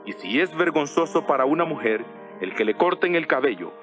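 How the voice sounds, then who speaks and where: A man playing an authoritative apostle who instructs his church in a measured, didactic way in a dramatized audio Bible, with music behind. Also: fairly high pitch, big pitch swings, a bit bright. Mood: measured, instructive.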